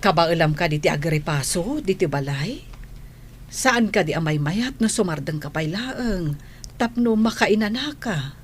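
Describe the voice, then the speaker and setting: A gentle, worried guardian for radio drama, Ilocano accent, slightly low female voice. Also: expressive, unhurried. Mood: gentle concern. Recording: noticeable background noise.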